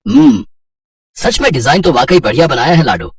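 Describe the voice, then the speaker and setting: A man's voice: fairly high pitch, huge pitch swings, moderate pace, vocal fry, slightly rough, dry recording, studio quality, far from the mic, heavily acted. A man voicing a teasing, doting middle-aged father in a Hindi cartoon story. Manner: playful, teasing.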